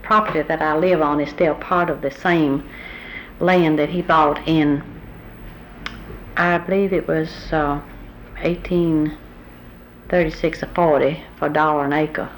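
An older woman, unhurried and pausing as she recalls family history in a taped oral history interview. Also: sits low, fairly even intonation, rough recording. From Southern United States. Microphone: tape recorder microphone. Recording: old tape recording with faint hiss.

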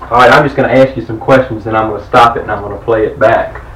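A man's voice: mid-range voice, fairly even intonation, quick pace, fairly bright tone, slight background noise. A man interviewing, asking questions with fillers and restarts. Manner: hesitant, many fillers and restarts as he asks. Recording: roomy, from far off the mic.

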